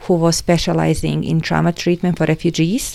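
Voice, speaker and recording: Middle-aged woman, gravelly. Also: low-pitched, little pitch movement, mellow, no room sound. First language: Serbian. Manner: thoughtful, explains step by step with frequent pauses. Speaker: trauma therapist. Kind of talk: interview answers.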